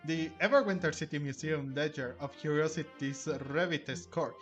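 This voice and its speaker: A man hosting a gaming video, commenting casually and reading game text aloud a bit haltingly. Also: fairly high pitch, a bit bright. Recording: game music clearly behind the voice. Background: video game music.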